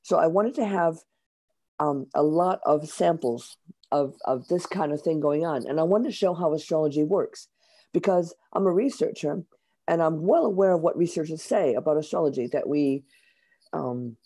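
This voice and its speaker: Middle-aged woman, American accent, hoarse, warm dark tone, chatty, hesitant, explanatory. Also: deep voice, moderate pace. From Michigan, USA. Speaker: guest author. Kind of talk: interview answers.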